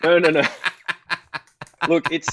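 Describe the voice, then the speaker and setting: A man's voice: on the high side, level tone, slow pace, a bit bright, a little hiss or hum. A man in his thirties with an Australian accent, talking casually and wryly as a podcast guest. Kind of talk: casual interview conversation.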